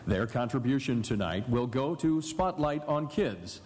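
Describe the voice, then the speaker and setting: A man's voice: medium pitch, animated, a little slow, a little mellow. A man reading a scripted sponsor message as an announcer for a live radio broadcast. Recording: echoey hall live broadcast.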